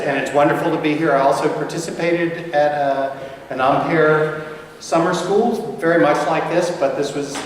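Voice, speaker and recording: A man's voice: fairly high pitch, distant mic, slight background noise. Older man lecturing in an echoey hall. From United States. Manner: rambling, friendly, many fillers and restarts. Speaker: guest lecturer. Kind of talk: academic lecture with anecdotes.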